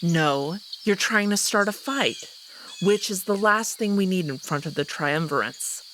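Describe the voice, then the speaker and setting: A woman's voice: lowish voice, animated, unhurried, fairly bright tone, a little hiss or hum. A woman playing a haughty, teasing female alien wolf noble in a visual novel, arch, composed and dismissive. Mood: exasperated.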